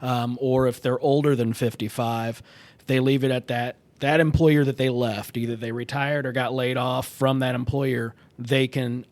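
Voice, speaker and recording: Guest money guy on radio, average pitch, kinda halting, restarts a lot. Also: flat delivery, a little mellow. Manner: adds points with hesitant restarts. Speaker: guest financial advisor. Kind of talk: casual radio chat.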